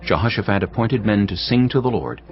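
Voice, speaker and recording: A male narrator with a very deep voice that moves expressively through its range. He reads scripture in a measured, storytelling manner. The recording sounds slightly muffled, with faint music underneath. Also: expressive.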